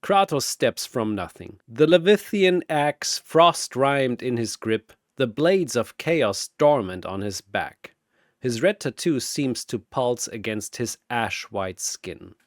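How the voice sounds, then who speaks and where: A man's voice: medium pitch, animated, slow pace, dry recording, clean studio sound. A man delivering dramatic scripted battle narration like an arena announcer, theatrical and grandiose.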